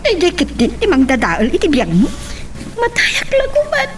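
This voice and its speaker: Woman acting heartbroken young daughter, very high voice. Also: huge pitch swings, moderate pace, soft background music. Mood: hopeless. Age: young adult. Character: heartbroken, exhausted young daughter who has lost hope. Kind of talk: melodramatic Ilocano audio drama acting.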